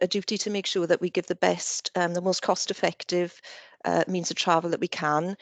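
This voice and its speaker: A woman's voice: lowish voice, dry recording. A woman council officer answering committee questions, hesitant, with many restarts and fillers.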